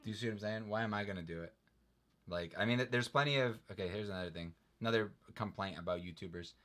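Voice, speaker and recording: A man hosting a casual livestream, his lowish voice getting animated and exasperated as he rambles through rants. He argues his points with a lot of restarts and emphasis. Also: fast talker.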